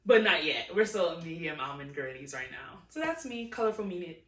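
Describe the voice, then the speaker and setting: Animated young woman, roomy distant sound. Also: on the low side. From Nigeria. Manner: animated, giggly, quick asides. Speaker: podcast co-host. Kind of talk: casual chat.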